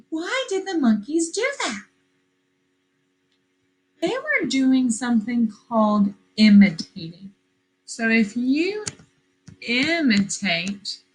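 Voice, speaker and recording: A woman teacher reading a story aloud to young children, expressive and questioning, repeating phrases for emphasis. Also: on the high side, poor audio.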